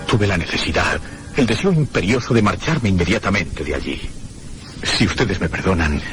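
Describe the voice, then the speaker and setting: An actor playing a troubled, inquisitive gentleman in a radio mystery, formal and brooding, both speaking and narrating his own story. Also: low-pitched, expressive, moderate pace, faint music behind. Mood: guarded, courteous. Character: troubled, inquisitive gentleman hotel guest recounting a ghostly mystery. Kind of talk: radio mystery acting and first-person narration.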